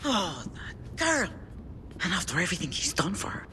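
A woman's voice: lowish voice, big pitch swings, normal speed, sharp tone, slight background noise. An actress playing an overwrought, fiercely loyal woman in a video game cutscene, theatrical and scolding. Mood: exasperated. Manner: theatrical, exasperated, bursts of emphasis.

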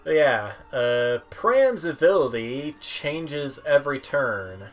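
A man doing casual game commentary as a streamer, halting as he goes and dropping wry asides. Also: medium pitch, very animated. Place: small room at home, indoors. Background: game music.

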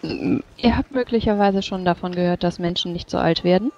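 A player voicing a polite human adventurer in their thirties in a tabletop game, explaining things in a measured way. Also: mid-range voice, darkish tone, muffled recording, natural voice. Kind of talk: in-character tabletop roleplay. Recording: faint background noise.

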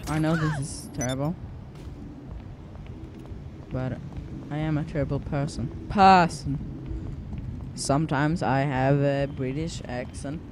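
A man's voice: a little high, fairly even intonation, takes it slowly, pauses often, noisy background. A young man from Sweden with a Swedish accent, rambling through casual gameplay commentary with many fillers and restarts. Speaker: gaming YouTuber.

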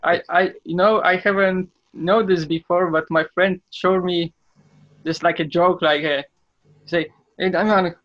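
Young Polish man, slightly higher voice, lo-fi video call. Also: fairly even intonation, no echo. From Poland. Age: young adult. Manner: halting English with fillers, laughs often. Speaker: podcast guest. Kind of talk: casual chat.

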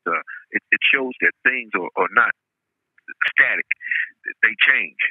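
A man's voice: on the low side, unhurried, husky. A man calling in by telephone, talking casually and reflectively in a philosophical way, repeating himself for emphasis. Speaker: guest calling by phone. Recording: tinny, echoey telephone line.

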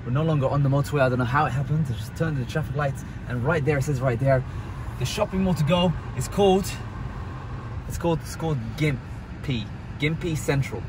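A man, casually reviewing a gadget in a jokey, excitable way from inside a car. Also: fairly high pitch, fairly quick. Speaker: tech reviewer. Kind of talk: casual product review vlog. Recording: noisy car cabin.

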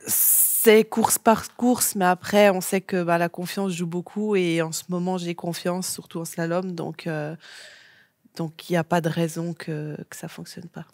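Jeune femme, invitée d'émission télé, voix plutôt grave, réponses simples et détendues, son sec. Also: female voice, on the low side, measured pace, no echo. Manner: relaxed, short plain answers with fillers. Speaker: guest athlete. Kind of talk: casual TV interview answers. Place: TV studio set.

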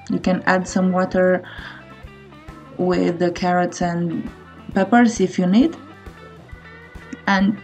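A woman's voice: mid-range voice, a little mellow. A woman in her thirties giving a casual recipe voice-over as a cooking vlogger. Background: faint guitar music.

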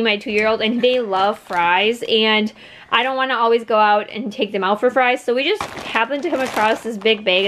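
A woman in her thirties talks to viewers in a casual, conversational ramble. Her voice sits a little high. She is recorded at home on a camera, with clear noise behind her. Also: fairly bright tone. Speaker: vlogger. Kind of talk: casual chatty haul video talk. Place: indoors at home by a wooden table.

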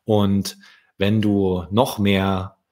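A young man from Germany telling stories to children in a deliberate manner, as an author hosting his readings. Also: on the low side, level tone, a little mellow. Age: young adult. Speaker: children's author, channel host. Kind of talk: storytelling for children. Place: small indoor room. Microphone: close desk microphone with pop shield.

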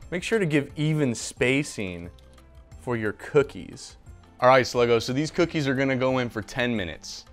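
A man's voice: medium pitch, animated, normal speed, faint music behind. A young man hosting a casual home cooking show in a kitchen, chatting upbeat while talking to a silent mascot beside him, on a lapel mic. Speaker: host.

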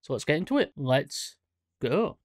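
A man's voice: fairly high pitch, sing-song, normal speed, no room sound, clean studio sound. A young man hosting a horror channel, talking casually about a game trailer, rambling and correcting himself with lots of fillers, at a desk mic in a small room. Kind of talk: casual reaction commentary. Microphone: studio mic on stand in front.

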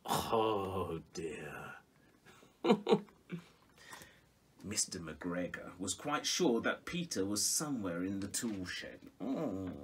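A middle-aged man reading a children's story expressively and theatrically, with dramatic pauses and exclamations. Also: mid-range voice, breathy edge. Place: small music room at home, indoors.

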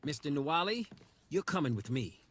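An actor playing a cocky, reckless rookie mercenary in a video game, brash and flippant. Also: male voice, on the high side, sing-song, a little fast, a bit bright, slight background noise. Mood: defiant. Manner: flippant, brash, foul-mouthed. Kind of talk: video game cutscene acting.

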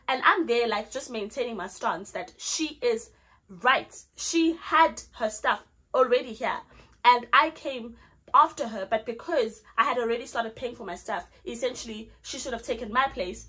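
A woman's voice: on the high side, husky. A young South African woman, a Zulu speaker, telling a storytime vlog story and acting out her own lines. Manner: animated, acts out her own lines in the retelling. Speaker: vlogger.

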